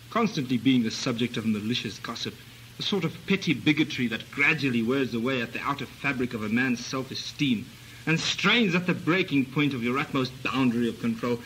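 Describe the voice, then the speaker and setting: An actor playing a brooding long-lost cousin in a comic radio melodrama, speaking in a grandiloquent, theatrical way. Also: male voice, medium pitch, bright, forceful tone, a little hiss or hum, low-quality recording.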